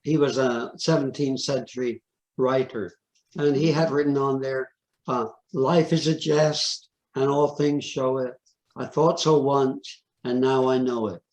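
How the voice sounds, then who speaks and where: Hoarse man reading scripture. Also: medium pitch, steady tone, a little slow, darkish tone, poor audio. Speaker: class member reading scripture.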